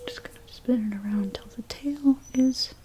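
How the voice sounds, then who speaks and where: A woman whispering in an ASMR role play as a hairstylist, gently talking through each step in a breathy, mostly unvoiced voice. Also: high voice, a little hiss or hum. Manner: gentle, unhurried, step-by-step personal attention. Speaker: ASMR creator playing a hairstylist.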